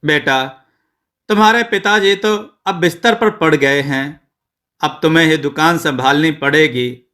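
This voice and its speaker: A man voicing a worried mother in a moral story, gently and seriously urging her grown son. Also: a little high, measured pace.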